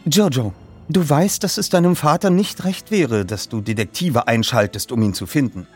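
A man's voice: medium pitch, normal speed, breathy edge, faint music behind, lightly acted. A man voicing a guarded, protective mother of about forty in an audiobook reading. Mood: skeptical, stern. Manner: stern, hesitant, guarded.

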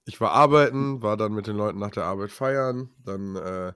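Man, low voice, dry close sound, chatty gaming podcast co-host, run-down, laughs a lot. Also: normal speed, a bit bright, clean tone, no echo. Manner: chatty, laughs often, a bit scattered. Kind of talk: casual chat.

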